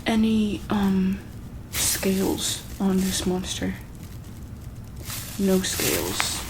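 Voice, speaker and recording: Slow, creaky-voiced woman doing ASMR roleplay. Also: medium pitch, fairly even intonation, background noise. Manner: slow, hesitant, repeats questions gently. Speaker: ASMR roleplayer as monster hospital examiner. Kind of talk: soft-spoken ASMR medical roleplay.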